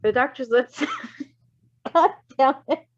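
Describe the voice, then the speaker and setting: A woman reading fan fiction aloud in a casual, giggly, expressive way, breaking into chatty asides. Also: high-pitched, slow, dull audio. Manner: giggly, expressive, exclaiming and swearing. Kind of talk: giggly live read-aloud with casual chat.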